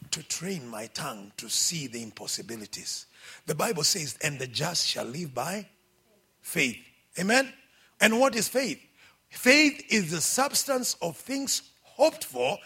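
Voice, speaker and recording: Kenyan male preacher, middle-aged, high bright voice, a bit husky, animated delivery. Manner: lively, exhorting, calls out for amens, quotes scripture. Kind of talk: sermon.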